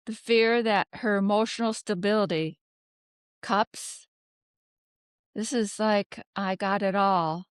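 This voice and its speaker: A woman giving an unscripted tarot reading, talking haltingly as she ponders aloud and poses rhetorical questions. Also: medium pitch, fairly even intonation, clean tone, no echo, clean studio sound. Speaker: tarot card reader, host.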